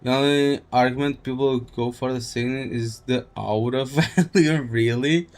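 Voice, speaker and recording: A man live streaming a game, chatting casually in a halting, rambling way as he thinks aloud. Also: mid-range voice, steady tone, a little mellow. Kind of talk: casual gaming stream chat.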